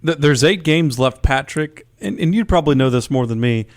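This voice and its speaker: A man's voice: medium pitch, animated, normal speed. A man co-hosting a sports radio show with joking, conversational banter. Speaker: radio co-host.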